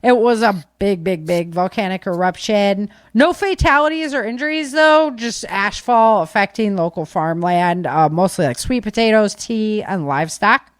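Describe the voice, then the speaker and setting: A woman's voice: mid-range voice, animated, normal speed, few pauses, no room sound, clean studio sound. A woman podcast host casually reading through disaster news, with informal, chatty asides to listeners.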